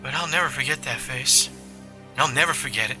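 A man's voice: mid-range voice, fairly quick, soft background music. A man voicing a distraught woman who sobs as she tells a news reporter about a violent chase. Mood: sobbing. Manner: breathless, wavering, hushed. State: crying. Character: distraught sobbing woman witness, middle-aged.

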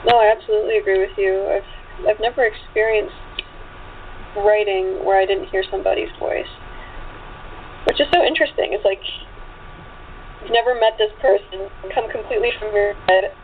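A woman with a mid-pitched voice talks casually as an interview guest. She sounds agreeable and easygoing in how she answers. The sound is narrow like a telephone, with faint hiss. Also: fairly even intonation, normal speed, long pauses. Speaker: interview guest, writer and actress. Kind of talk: casual chat. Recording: thin phone line with hiss.

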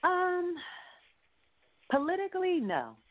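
A woman's voice: very high voice, wildly swooping pitch, slow. A woman talking casually and colloquially as an interviewed singer, with an encouraging, emphatic way of speaking. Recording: muffled phone-like line.

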